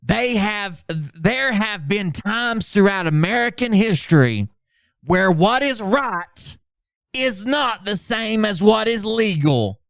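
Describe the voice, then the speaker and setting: Man putting on a pompous, slow, high-pitched orator. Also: animated, vocal fry, a bit bright, dry recording, clean studio sound, exaggerated voice. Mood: grave, solemn. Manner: grandiose, slow, declamatory. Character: pompous, self-important male doomsaying orator. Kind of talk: over-dramatic reading.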